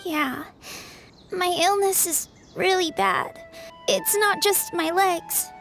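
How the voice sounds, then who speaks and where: Sorrowful young girl for a game, tiny high voice, slow halting plaintive delivery, soft music underneath. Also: creaky, heavily acted. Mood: sad, subdued. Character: frail, sorrowful young girl who uses a wheelchair. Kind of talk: video game voice acting.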